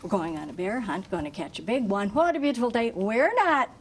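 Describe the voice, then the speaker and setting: A woman reading a picture book aloud to children in an expressive, chanting, sing-song way, engaging them with questions like a teacher. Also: medium pitch, fairly quick, a little hiss or hum. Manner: asks listeners questions like a teacher. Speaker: volunteer story reader for children.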